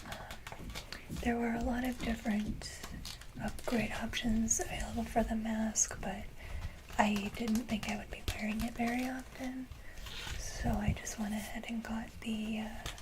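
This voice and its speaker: A woman whispering breathily in a casual ASMR style, speaking to viewers in a gentle, halting manner. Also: a little high, little pitch movement. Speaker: ASMR video creator. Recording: clearly noisy, hissy background.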